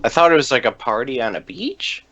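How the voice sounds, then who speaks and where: A man's voice: mid-range voice, animated, fairly quick, sharp tone, lo-fi sound, a little hiss or hum. Jokey man in a casual podcast. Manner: jokey, short interjections. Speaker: podcast co-host.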